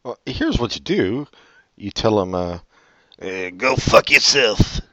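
Male co-host, sarcastic and profane, low voice, dull dry recording. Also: expressive, slow pace, pushed and bright, dry recording. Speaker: podcast co-host. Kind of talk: casual chat, reading advice letters.

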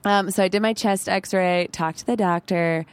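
A woman's voice: medium pitch, steady tone, moderate pace, clear, resonant tone. A young woman with a head cold, co-hosting a casual podcast, chatty and full of "like" fillers and laughs. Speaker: podcast co-host. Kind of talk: casual chat.

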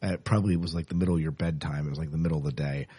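A man's voice: a bass voice, fairly even intonation, rapid-fire, mellow, husky. Man hosting a casual podcast. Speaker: podcast host.

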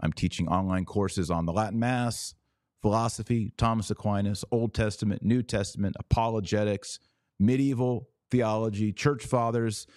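Low, mellow-voiced man hosting religious commentary. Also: steady tone, normal speed, no echo, studio quality. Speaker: podcast host.

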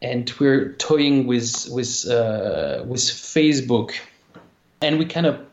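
A man with a French accent, a game company founder talking in a casual interview, halting with many restarts. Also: mid-range voice, animated, echoey room, highs cut off. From France. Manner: halting, many restarts and fillers, laughs easily. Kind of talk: casual interview over a call.

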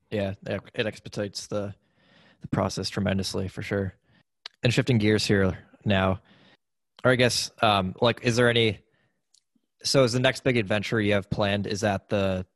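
A man's voice: fairly low pitch, no echo. A man hosting an interview, asking casual questions with lots of hesitation and fillers. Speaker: podcast host interviewer.

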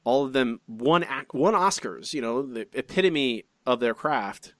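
A man co-hosting a podcast, reading out listener comments in chatty, animated fashion with frequent restarts and asides. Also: mid-range voice, a little slow, dry recording, clean studio sound. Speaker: podcast co-host.

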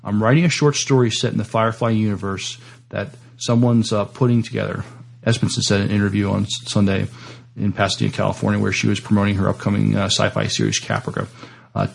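A man co-hosting a podcast, giving casual opinions and news in a hesitant, halting delivery. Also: on the low side, vocal fry, slightly rough. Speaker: podcast co-host. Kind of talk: casual discussion and reading of sci-fi news.

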